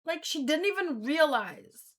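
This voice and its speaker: A woman hosting a read-along in a casual live commentary style, with expressive, exclamatory reactions. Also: high-pitched, a little slow. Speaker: host of a manga read-along stream.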